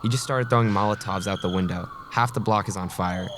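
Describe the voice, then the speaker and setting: A man's voice: on the low side, a little fast, close to an everyday voice. A man playing a panicked passerby in an audio drama, urgent and alarmed. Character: panicked male passerby fleeing danger. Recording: clear background noise with sirens.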